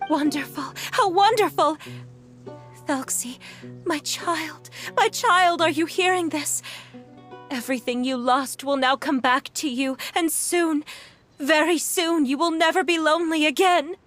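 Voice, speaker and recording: Casting: fragile loving mother, high voice, slow halting emotional delivery, game drama with music. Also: fairly bright tone. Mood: joyful tenderness. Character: tender, frail mother, loving and sorrowful. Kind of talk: English game voice acting.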